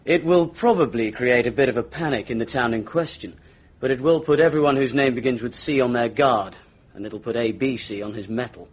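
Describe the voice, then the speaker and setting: An actor playing a brisk, self-assured Scotland Yard inspector in a radio drama, with an English accent. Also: male voice, medium pitch, slightly rough, lightly acted. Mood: confident.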